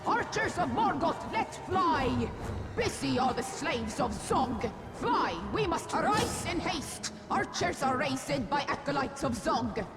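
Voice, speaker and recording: A woman's voice: high-pitched, big pitch swings, slow pace, heavily acted. An actress playing an eerie female spirit in a fantasy game, grave and cryptic, speaking in archaic incantations. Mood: urgent. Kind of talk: fantasy video game acting. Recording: loud music behind the voice.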